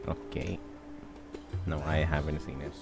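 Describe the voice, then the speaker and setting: A man's voice: very deep voice, level tone, unhurried, vocal fry. A young man with an Indian accent chatting casually as he livestreams a game. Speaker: game streamer. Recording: game music behind the voice. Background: video game music.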